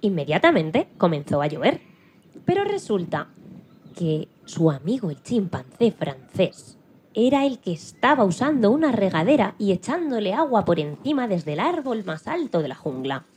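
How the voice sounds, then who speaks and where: A woman's voice: mid-range voice, very animated, moderate pace, a little mellow. A woman narrating a dramatised children's story as the storyteller, with faint noise on the recording.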